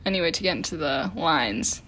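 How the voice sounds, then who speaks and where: A woman co-hosting a casual chat, reflecting out loud and filling pauses with "like" and "um.". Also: low-pitched, expressive, a little hiss or hum. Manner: reflective, heavy use of "like" and "um". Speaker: podcast co-host.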